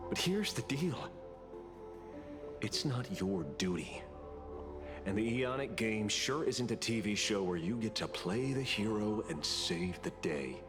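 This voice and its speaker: A low, taunting, menacing interrogator. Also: male voice, lively intonation, over music. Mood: taunting, grim. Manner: taunting, measured and dramatic. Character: menacing, cynical male interrogator mocking a hero. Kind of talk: video game voice acting.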